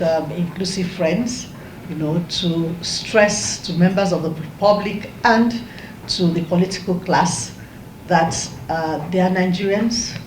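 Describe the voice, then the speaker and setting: A woman giving an earnest, halting advocacy statement. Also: lowish voice, a little mellow. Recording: echoey room, faint noise.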